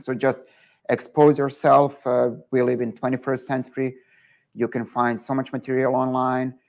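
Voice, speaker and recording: A man's voice: medium pitch, little pitch movement, moderate pace, creaky, darkish tone. A man with a Serbian accent, a guest entrepreneur in an online interview, giving earnest advice with many filler words.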